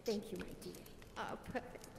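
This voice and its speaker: A woman's voice: normal speed, slight background noise, close to an everyday voice. A woman voicing a glamorous female cat cabaret diva, gushing with theatrical endearments between songs. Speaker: variety show performer. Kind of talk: cabaret song and stage patter. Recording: echoey hall with music behind.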